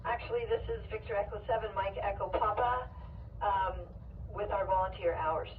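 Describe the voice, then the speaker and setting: A woman radio operator giving a measured procedural check-in report over a radio transmission, repeating figures digit by digit. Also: medium pitch. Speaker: station operator reporting to a net. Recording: tinny narrowband distant radio, faint noise.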